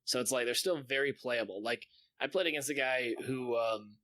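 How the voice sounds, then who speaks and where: A man's voice: mid-range voice, normal speed, pushed and bright. A man talking casually and in slangy detail as a gaming podcast host.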